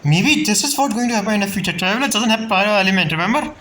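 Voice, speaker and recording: A woman's voice: medium pitch, lively intonation, very fast, a bit bright, a little hiss or hum. A woman gamer giving casual commentary on a playthrough, musing aloud in brief reactions. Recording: faint game music behind.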